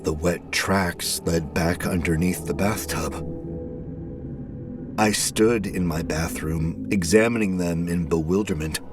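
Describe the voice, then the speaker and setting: A man reading scripted first-person horror narration as a storyteller, measured and with dramatic pauses. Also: low voice, over music.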